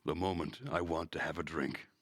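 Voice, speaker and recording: A man's voice: a bass voice, fairly even intonation, fairly quick, fairly bright tone, no echo. A man streaming a visual novel, reading it aloud as narrator with wry, casual asides.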